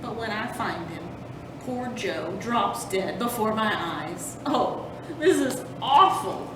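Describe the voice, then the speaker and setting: A woman's voice: lively intonation, slow pace. Woman playing a wailing, melodramatic grumpy old bear. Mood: tearful moaning. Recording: roomy, distant mic with rumbling noise.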